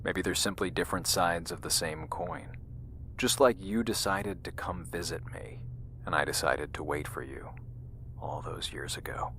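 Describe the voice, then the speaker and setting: An actor playing an embittered, world-weary scientist in an audio drama, intense and confiding in manner. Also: male voice, sits low, moderate pace, fairly bright tone, slight background noise. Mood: reflective. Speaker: actor in fiction podcast. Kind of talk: audio drama dialogue and narration.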